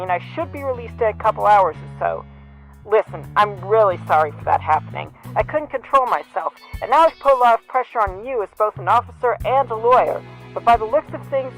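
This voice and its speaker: Mentor lawyer, female performer: experienced, calm, reassuring, average pitch, audio drama over light music. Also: rough recording. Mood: apologetic. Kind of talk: fan audio drama acting.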